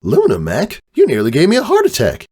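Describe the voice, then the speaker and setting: A man's voice: on the high side, wildly swooping pitch, a little fast, darkish tone, breathy edge, pitched above the performer's own voice, full character voice. A man voicing a timid young male pony with a startled, stammering manner. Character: timid, startled young stallion, friend of the hero.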